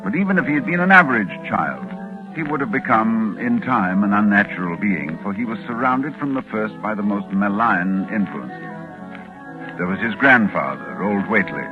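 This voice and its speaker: An actor playing a grave, learned doctor who narrates in formal, ominous 1940s radio style. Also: male voice, on the low side, lively intonation, normal speed, close to an everyday voice. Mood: ominous, urgent. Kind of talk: 1940s radio acting, dramatic scripted narration. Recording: muffled, narrow vintage 1940s radio, music.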